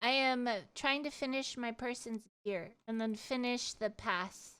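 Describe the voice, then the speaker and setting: A high-voiced woman streamer talks casually to her viewers. She is animated and giggly, rambling from one thought to the next as she tells her stories in a conversational livestream style. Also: measured pace, smooth. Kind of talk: casual livestream chat and storytelling.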